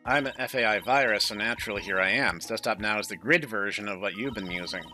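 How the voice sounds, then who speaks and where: A man's voice: fairly low pitch, quick pace, pushed and bright, faint music behind. A man voicing a blunt, cocky female AI virus from a visual novel, matter-of-fact and precise. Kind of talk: visual novel character read-aloud.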